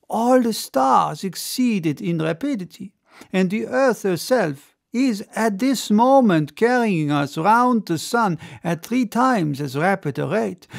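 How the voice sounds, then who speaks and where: A man's voice: sits high, creaky, pitched above the performer's own voice, exaggerated voice. A man voicing a cheerful, confident French adventurer giving an emphatic public speech in a storybook. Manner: oratorical, emphatic. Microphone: close studio microphone on desk.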